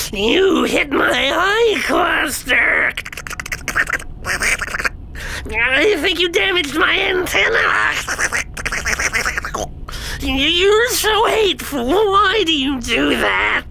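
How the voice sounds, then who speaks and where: A man's voice: very high-pitched, very animated, drawn-out words, creaky, pushed and bright, slight background noise, full character voice. A man voicing a whiny, put-upon male insectoid pilot who complains a lot, in an audio drama. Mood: hurt, whining.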